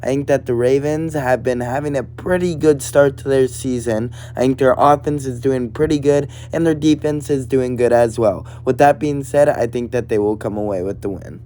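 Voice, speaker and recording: A man with a raspy, slightly hoarse voice, hosting casual sports prediction commentary in a matter-of-fact way. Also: medium pitch, normal speed, few pauses, warm tone, slight background noise. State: hoarse from partly lost voice. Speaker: sports prediction video host.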